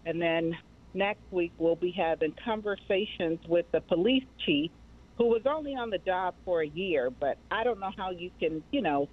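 A woman's voice: lowish voice, expressive, moderate pace. Woman on a tinny phone line. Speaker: guest, mental health advocacy director. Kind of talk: personal interview answers. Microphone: remote call.

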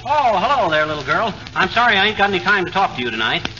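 An actor playing a boastful, easily flustered American husband with folksy bluster in 1940s radio comedy. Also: male voice, high voice, very animated, fast talker. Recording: noisy, muffled 1940 radio broadcast.

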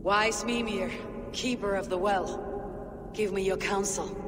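A man's voice: sits high, fairly even intonation, takes it slowly, background noise. A man playing a proud, wary god in a fantasy game cutscene, with a terse, commanding manner. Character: proud, wary armoured god seeking immortality. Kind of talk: dramatic game cutscene acting. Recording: long reverb, music behind.

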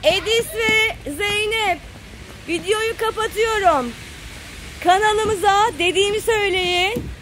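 A woman's voice: extremely high pitch, takes it slowly, noisy background. A woman chatting casually to the camera and calling out to children in a sing-song way, recorded on a handheld phone at an outdoor playground. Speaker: parent filming a family vlog.

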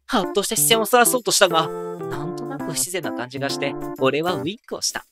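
A man playing a wry, self-mocking high school boy who narrates an anime audio drama with dry asides. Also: big pitch swings, fast, over loud music. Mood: musing.

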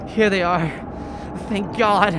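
A woman playing a desperate, obsessive dying writer in an audio drama, halting and fraught as she freezes. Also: medium pitch, steady tone, natural voice. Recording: clearly noisy background.

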